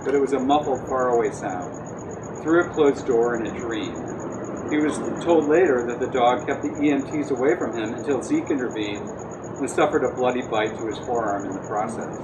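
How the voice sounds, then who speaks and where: A man's voice: mid-range voice, normal speed. A man reading aloud from his own novel as its author at a live literary event. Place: bookstore cafe reading event. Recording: distant mic, very loud room noise.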